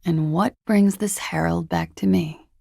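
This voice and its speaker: Husky, creaky, world-weary young expatriate. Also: female voice, on the low side, steady tone, a little slow, a little mellow, no room sound, full character voice. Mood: wry, teasing. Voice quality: husky, gravelly. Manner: dry, languid, ironic. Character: cool, world-weary young expatriate woman, wryly teasing. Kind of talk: video game character dialogue.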